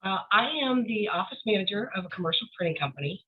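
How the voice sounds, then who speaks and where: A woman's voice: mid-range voice, lively intonation, fast, breathy edge. A woman guest casually chatting about herself over a thin, narrow call connection. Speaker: office manager, interview guest. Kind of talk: casual chat, answering personal questions. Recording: tinny phone-like call audio.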